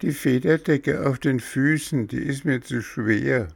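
Man putting on a high, whiny little puppet boy. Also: unhurried, vocal fry, breathy edge. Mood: whiny, fussy. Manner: dramatic, childish whining and pleading. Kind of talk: storybook character voice.

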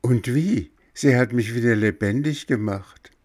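A man's voice: medium pitch, measured pace, a little mellow, voice pushed up, lightly acted. A man voicing a stubborn, easily frightened little puppet boy in a storybook, whining and pleading dramatically. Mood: cheeky. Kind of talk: storybook character voice.

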